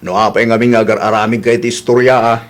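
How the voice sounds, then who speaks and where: A man's voice: medium pitch, flat delivery, fast, lightly acted. A man playing a stern father in a radio drama, lecturing his daughter. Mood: scolding. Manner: chiding, lecturing. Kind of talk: Ilocano radio drama acting.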